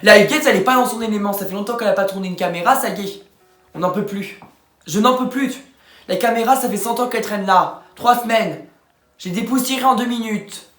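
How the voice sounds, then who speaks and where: A woman's voice: medium pitch, a little fast, soft background music. A young woman filming herself outdoors on a hike, talking in a breathless, jokey and self-mocking way. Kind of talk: casual handheld vlog talk.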